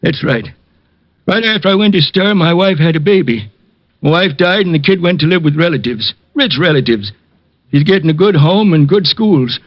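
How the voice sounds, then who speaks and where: A man's voice: a little high, expressive, normal speed, no echo. An actor playing an older man, a frightened, protective ex-convict with streetwise slang, in an old radio crime drama. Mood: resigned, confessing. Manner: pleading, streetwise slangy speech. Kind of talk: 1940s-50s radio drama acting. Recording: dull vintage radio recording.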